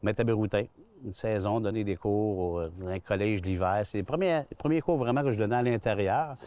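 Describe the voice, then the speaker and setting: A Québécois man in his sixties tells stories in a rambling way, full of restarts and fillers. His voice sits a little low. He is recorded on a lapel mic outdoors on a golf course. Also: fairly quick, lo-fi sound. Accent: Québécois French. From Quebec. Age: 60s. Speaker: golf club general manager. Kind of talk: relaxed outdoor interview.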